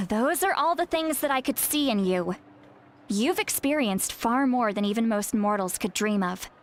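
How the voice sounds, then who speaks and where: A woman playing a self-assured female oracle in a video game, speaking in a measured, formal, authoritative manner. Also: fairly high pitch, animated, slight background noise. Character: self-assured, solemn female oracle shaman, briskly dismissive. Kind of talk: video game character acting.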